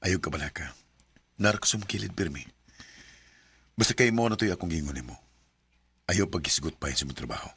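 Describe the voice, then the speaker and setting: A man's voice: low voice, expressive, brisk, pauses often, a bit bright, slight background noise. A man playing an earnest young man who reassures the woman he loves.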